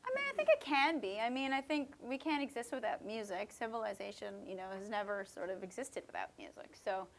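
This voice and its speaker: A woman's voice: on the high side, lively intonation, fairly quick, few pauses, slight background noise. A woman from Canada's West Coast, a musician answering interview questions in a thoughtful, chatty way with many fillers. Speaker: musician guest. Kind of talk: relaxed conversational interview answers.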